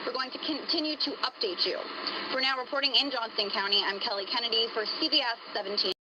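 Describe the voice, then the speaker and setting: A female field reporter delivers a live news report outdoors. Her voice is slightly high in pitch, and there is clear location noise around her. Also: quick pace. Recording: noisy outdoor location sound.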